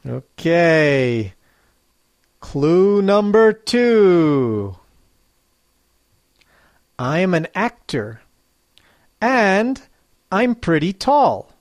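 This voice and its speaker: Very slow, deliberate quiz reader. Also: mid-range voice, sing-song, dry recording, clean studio sound. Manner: reads each clue twice, slowly and clearly. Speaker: English teacher. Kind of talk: slow quiz dictation for learners.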